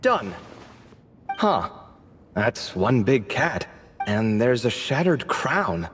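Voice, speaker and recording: A man voicing a sarcastic, excitable young scholar adventurer in a video game, speaking expressively. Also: medium pitch, very slow, big-room sound, faint music behind, full character voice. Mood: curious, wondering.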